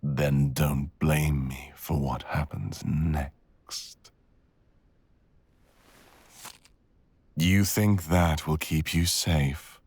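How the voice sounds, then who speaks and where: A man acts out a cruel, seductive dragon villain for an ASMR audio roleplay. The voice is extremely low and husky, crackling with fry, and drifts into whispers. It delivers mocking, predatory threats in an arrogant drawl, close and intimate. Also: steady tone, drawn-out words, a little mellow, no echo, exaggerated voice. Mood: quiet menace. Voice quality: gravelly, breathy, sometimes whispering. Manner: menacing, mocking, teasing drawl.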